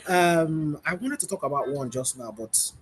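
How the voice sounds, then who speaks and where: A man, demonstrating software on a webinar panel, loosely repeating himself as he goes. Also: on the high side, animated, moderate pace. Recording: loud background noise.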